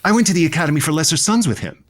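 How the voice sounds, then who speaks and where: A man's voice: on the high side, expressive, rapid-fire, natural voice. A man playing a bitter, slighted twin posing as his brother, wry and conniving, in a comedy audio drama. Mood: wry scheming.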